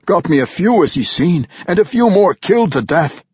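Tormented vampire dwarf warrior voiced by a man, thick brogue, gruff and halting, raised and loud, husky. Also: high-pitched, big pitch swings, dry recording, highs cut off, pitched above the performer's own voice, full character voice.

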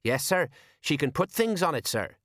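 A man's voice: fairly high pitch, very animated, normal speed, fairly bright tone, dry recording, studio quality, voice pushed up. A man voicing a deferential, self-satisfied police sergeant in an audiobook, with a plodding, homely manner. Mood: eager, confiding.

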